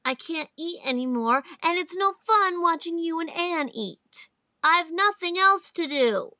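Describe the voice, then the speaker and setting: A woman's voice: very high-pitched, expressive, unhurried, bright, forceful tone, no room sound, studio quality, heavily acted. A woman voicing a sulky, restless young boy who whines and pleads in an audiobook drama. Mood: whiny protest. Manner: whiny, protesting. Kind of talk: audiobook drama character reading.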